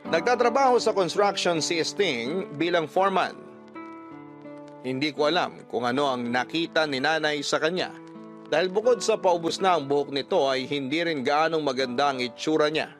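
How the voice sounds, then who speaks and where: A man's voice: fairly high pitch, moderate pace, fairly bright tone. A man reading a scripted first-person story as a radio-style storyteller. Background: background music.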